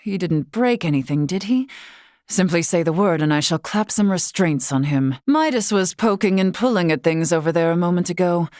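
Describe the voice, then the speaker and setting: A solemn warrior noblewoman from a fantasy game, played by an actress, pitched low and recorded very dry. She speaks formally and with measure, in courtly, old-fashioned phrasing, dutiful and composed. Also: lively intonation, darkish tone, dry recording, close to an everyday voice. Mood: composed, courteous. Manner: formal, measured, archaic diction. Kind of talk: scripted video game character dialogue.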